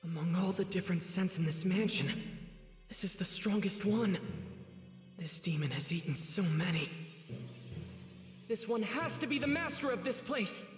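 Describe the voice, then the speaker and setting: A man's voice: sits high, animated, moderate pace, long pauses, roomy sound, over music. An actor playing an earnest, protective teenage demon slayer boy in an anime game, speaking with resolve and reassurance. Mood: gentle resolve. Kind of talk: dubbed anime game acting.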